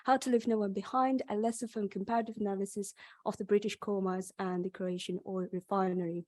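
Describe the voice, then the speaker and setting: A woman's voice: mid-range voice, flat delivery, fairly quick, mellow, no room sound. Young woman presenting with a Yorkshire accent. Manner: hesitant, many ums and restarts. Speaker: PhD student presenter. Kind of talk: academic conference presentation.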